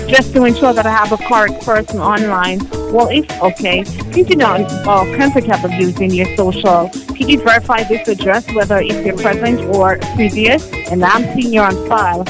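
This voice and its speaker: A woman's voice: mid-range voice, moderate pace, hardly any pauses. Woman call agent with music behind her. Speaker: debt collection call center agent. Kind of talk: phone call, scripted customer-service talk.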